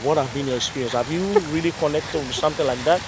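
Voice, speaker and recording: A man's voice: on the high side, quick pace. A Ghanaian man with a Ghanaian accent, interviewing in a casual, encouraging way with frequent affirmations. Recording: crowd noise behind.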